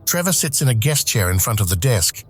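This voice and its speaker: A man's voice: fairly low pitch, big pitch swings, a little fast, slightly rough. A man reading scripted screenplay narration for an audio drama.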